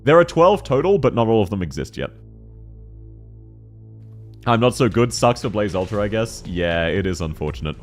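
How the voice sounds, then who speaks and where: A young man with a deep voice chats through a game stream, answering viewers in an excitable, slangy way and laughing often. His pitch swings widely from line to line. Faint music plays underneath. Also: moderate pace, long pauses. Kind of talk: casual live-stream chat, answering viewer questions.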